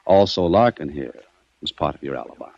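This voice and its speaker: A very low-voiced, shrewd investigator from a vintage radio crime drama. He is commanding and sardonic, swinging widely in pitch as he needles his man. The old recording is dull and dry. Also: animated, brisk, vocal fry, no room sound, exaggerated voice. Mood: coolly accusing. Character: shrewd, cool-headed male investigator baiting a murder suspect.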